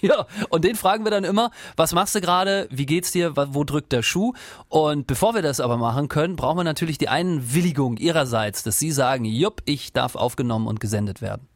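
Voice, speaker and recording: A man's voice: on the high side, a little fast, no echo, clean studio sound. A man from Rostock hosting a radio podcast, teasing his co-host and chatting with callers. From Rostock, East Germany. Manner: joking, teasing. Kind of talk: casual podcast chat. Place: studio. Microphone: studio mic.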